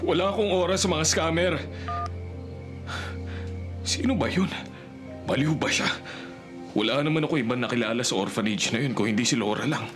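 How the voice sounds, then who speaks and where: A man's voice: medium pitch, normal speed, pauses often, lightly acted. A man playing a guilty, jumpy henchman in a Filipino radio drama, edgy and melodramatic, with a Filipino accent. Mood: irritated, puzzled. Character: guilty, jumpy male henchman who betrayed a friend. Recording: radio drama with loud music underneath. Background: dramatic music.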